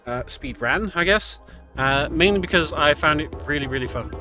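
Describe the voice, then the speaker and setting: A man's voice: a little high, expressive, moderate pace, sharp tone, faint music behind. A man giving casual, chatty live commentary on a game he is speedrunning, explaining things as he goes. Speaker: speedrunner commentating his own run.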